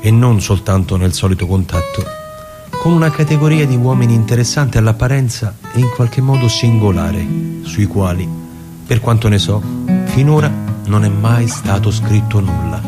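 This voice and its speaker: A man playing a prudent, unambitious elderly lawyer in a formal, deliberate literary monologue with pauses. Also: lowish voice, lively intonation, close to an everyday voice. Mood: measured, grave. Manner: measured, deliberate, pausing, formal. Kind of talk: literary dramatic monologue reading over music. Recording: radio reading with loud guitar music. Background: plucked guitar music.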